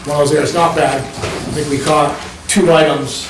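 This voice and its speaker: A man's voice: a little high, little pitch movement, moderate pace, far from the mic, heavy background noise. Man presenting in an echoey meeting room. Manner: explanatory, walks listeners through pages, frequent ums. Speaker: planning board member presenting.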